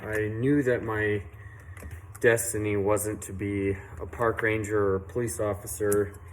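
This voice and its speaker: A young man from western Illinois who owns a tree service tells how he started, in an unhurried, earnest way with many fillers and restarts. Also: lowish voice, level tone, a little hiss or hum. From western Illinois, USA. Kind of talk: casual personal story to camera. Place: outdoors at a work site, doing saw maintenance.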